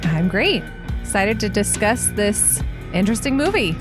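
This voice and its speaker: A woman's voice: medium pitch, big pitch swings, normal speed, music in the background. A woman co-hosting a podcast, chatting casually in an easygoing, giggly way. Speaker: podcast co-host. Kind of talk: casual chat.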